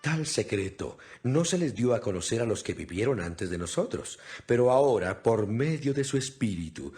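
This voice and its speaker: A man's voice: mid-range voice, lively intonation, normal speed, darkish tone, lightly acted. A man playing an imprisoned apostle in a dramatized scripture reading, speaking solemnly and earnestly with emphasis. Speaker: Bible narrator. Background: music.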